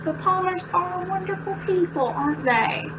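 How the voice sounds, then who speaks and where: A woman playing a placid, gently reproving wife and mother in an old-time radio comedy. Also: very high voice, normal speed. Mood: soothing. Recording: dull, noisy remote home recording.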